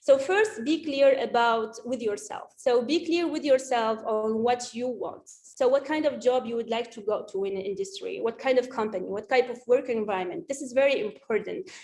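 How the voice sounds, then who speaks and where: Female speaker, slightly high voice, earnest and halting delivery of career advice. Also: a little mellow. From Tunisia. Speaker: conference speaker, data science team lead. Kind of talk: informal career advice presentation. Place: remote video conference.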